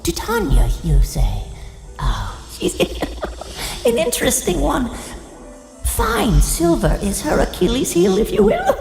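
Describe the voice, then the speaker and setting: A lonely, coy gorgon hostess for a tabletop game. The voice is very high and creaky, hesitant and theatrical, full of gossip and giggles. It rings in an echoing hall over music. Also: female voice, wildly swooping pitch, slow pace, heavily acted. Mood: gossipy, teasing. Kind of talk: improvised tabletop roleplay. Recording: echoey hall, music underneath.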